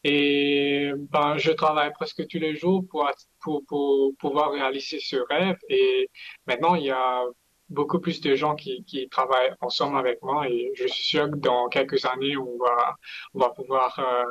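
A man's voice: mid-range voice, level tone, normal speed, a bit bright, lo-fi sound. A man answering interview questions casually, often stumbling and repeating words. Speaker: guest.

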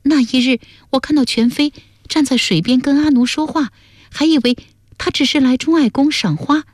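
A woman's voice: sits high, moderate pace, darkish tone, dry recording, clean studio sound, pitched above the performer's own voice, natural voice. A woman voicing a young princess, a devoted and naive daughter, plaintive and earnest, in a historical audiobook. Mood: hurt, doubting. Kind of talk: dramatised Chinese historical audiobook.

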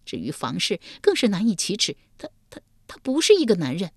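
A woman voicing a frightened young wife on trial who stammers in a high, trembling voice. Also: wildly swooping pitch, brisk, breathy edge, no echo, clean studio sound, heavily acted. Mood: pleading.

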